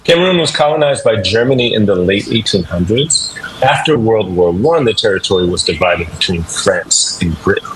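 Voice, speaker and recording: A man's voice: fairly low pitch, animated, moderate pace, a bit bright, slight background noise, poor audio. A man hosting a radio language segment, upbeat and instructive, drilling listeners to repeat phrases. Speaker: language teacher segment host.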